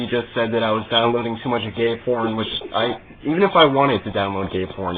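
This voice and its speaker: A man making a prank phone call as a customer, speaking in a halting, deadpan, mock-earnest way. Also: lowish voice. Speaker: prank caller. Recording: muffled narrowband phone call.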